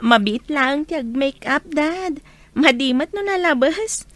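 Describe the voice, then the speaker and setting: High-voiced, unhurried young daughter. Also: female voice, lively intonation, a bit bright. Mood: playful. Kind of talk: radio drama acting.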